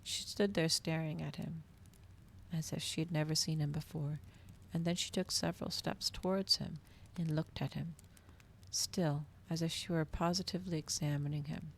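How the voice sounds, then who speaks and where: A woman reading a story aloud in a soft, hushed ASMR style. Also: deep voice, fairly even intonation, moderate pace, gravelly, slight background noise. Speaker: streamer reading a novel aloud.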